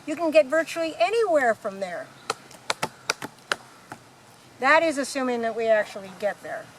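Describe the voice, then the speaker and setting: A breezy space-travelling researcher played by a woman in a comic radio play. The voice is high and casual, explaining matter-of-factly over a noisy background. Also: sing-song, a little fast. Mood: wry, casual. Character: breezy, unflappable alien guidebook researcher, matter-of-fact. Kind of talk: amateur radio play comedy acting.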